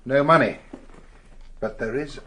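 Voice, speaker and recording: A man's voice: mid-range voice, unhurried, pushed and bright. A man playing a brusque, determined police investigator in a radio drama, blunt and businesslike.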